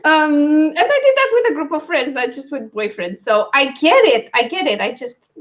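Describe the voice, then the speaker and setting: A woman chatting casually about books as a co-host, in an animated, self-interrupting way. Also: high-pitched, moderate pace, muffled recording. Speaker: co-host of a book discussion. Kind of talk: casual book chat.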